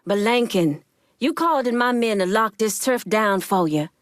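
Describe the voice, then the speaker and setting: An actress voicing a proud, shrewd, militant woman crime boss in a video game, speaking in a blunt, deliberate, streetwise way with plenty of swearing. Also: mid-range voice, animated, dry recording, studio quality, natural voice. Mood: angry, demanding. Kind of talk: dramatic video game character acting.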